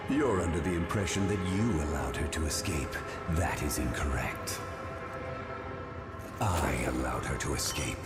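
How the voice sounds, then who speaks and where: A gloating mad scientist from a video game, with an extremely deep voice that swoops theatrically. He savors each word with mocking courtesy. The voice echoes under loud music. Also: lively intonation, a little slow. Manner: mocking, falsely polite, savoring his words. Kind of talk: dramatic video game cutscene acting.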